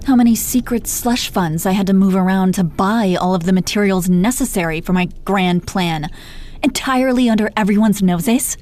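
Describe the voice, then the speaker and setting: Supervillainess for audio drama, woman performing: smooth-dark tone, gloating and taunting, sweary, short on patience. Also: medium pitch, moderate pace, slight background noise, natural voice. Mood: exasperated boasting. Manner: taunting, condescending pet names, heavy swearing. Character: gloating menacing female supervillain, sardonic and exasperated. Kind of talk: dramatic roleplay audio monologue.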